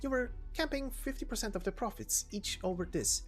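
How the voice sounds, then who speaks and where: A woman's voice: mid-range voice, expressive, fairly quick, faint music behind, pitched above the performer's own voice, lightly acted. A woman voicing a chatty, cheeky young woman helper with slangy, breezy talk in a game story reading. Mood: thinking aloud. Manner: slangy, breezy, stumbling over big words.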